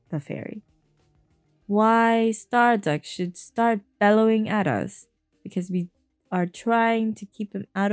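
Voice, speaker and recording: A woman's voice: medium pitch, darkish tone, no echo, clean studio sound, close to an everyday voice. A woman voicing a brusque, impatient female official in a read-aloud novel.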